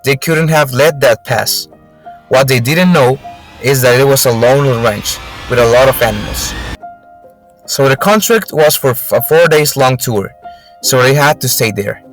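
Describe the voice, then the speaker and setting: Young man narrating over soft music. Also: mid-range voice, moderate pace, poor audio. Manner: read aloud with stumbles. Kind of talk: amateur scripted radio drama narration.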